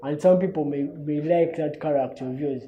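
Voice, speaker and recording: A young man with a Ugandan accent rambles through a casual solo talk show, stumbling over repeated words and tacking on "you know" and "man". His voice sits a little high but sounds dark and mellow. The recording is dull and lo-fi. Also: moderate pace, a little hiss or hum. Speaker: host. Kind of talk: casual monologue.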